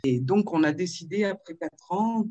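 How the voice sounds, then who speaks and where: A woman in her fifties from Marseille, telling her life story in a video-call interview, with frequent pauses and fillers. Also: lowish voice, expressive, mellow, no echo, lo-fi sound. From Marseille, France. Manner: hesitant, with many fillers and restarts. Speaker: author and interview guest.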